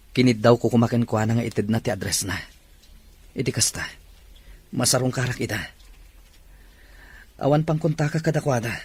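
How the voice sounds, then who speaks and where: An actor playing a troubled husband who speaks in a subdued, brooding way. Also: male voice, fairly low pitch, a little mellow, slightly rough. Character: troubled husband, cold to his wife.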